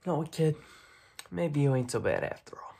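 A young man voicing a smug, menacing mob boss in a soft-spoken, half-whispered ASMR roleplay full of gangster slang. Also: on the high side, expressive, normal speed, vocal fry, slightly rough, full character voice. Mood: persuasive. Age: young adult. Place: small dark room indoors.

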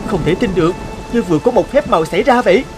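A man voicing a kind, hardworking young fisherman, earnest and expressive, in an animated fairy-tale dub. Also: sits high, quick pace, faint music behind. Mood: astonished. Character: kind, hardworking young fisherman-farmer, anxious but steady.

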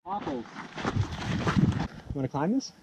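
A young man on a road trip outdoors, excitable and exclaiming, swearing now and then as he talks on an action camera. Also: a little high, slow pace, heavy background noise. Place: outdoors and in a mine tunnel.

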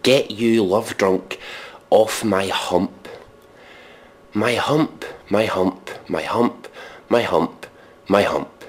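Deep-voiced, deadpan sardonic man. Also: very slow, long pauses. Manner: deadpan, exasperated, sweary. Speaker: comedic commentator. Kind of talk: mock dramatic reading with sardonic commentary.

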